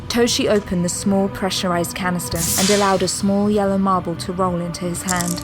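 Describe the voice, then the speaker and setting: A woman's voice: mid-range voice, steady tone, brisk, music in the background, rough recording. A woman reading scripted narration for a sci-fi audio drama as its narrator.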